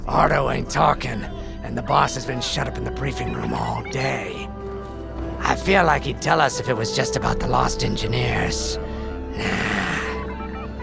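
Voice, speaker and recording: Gravelly gossipy rebel pilot, folksy and easygoing, pitch bouncing around, music behind him. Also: male voice, exaggerated voice. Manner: informal, conspiratorial, folksy. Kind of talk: casual in-game character monologue.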